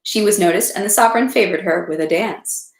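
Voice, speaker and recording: Woman doing an amateur storybook read-aloud of a classic novel, mid pitch, roomy echo at home. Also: fairly quick, gravelly. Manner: unpolished amateur read-aloud, stumbles and rereads. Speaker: reader of a read-aloud series. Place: indoors at home, echoey room.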